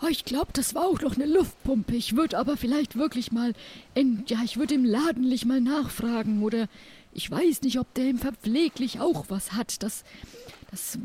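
A woman's voice: on the high side, moderate pace, mellow, husky. A woman improvising a helpful veteran pirate in an audio drama, reminiscing in a thoughtful, hesitant way.

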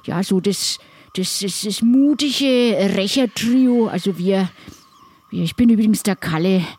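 A woman's voice: medium pitch, unhurried, a little mellow, slightly rough. A woman playing a chummy, teasing pirate companion in an improvised audio drama, talking informally. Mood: reassuring. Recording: faint background noise.

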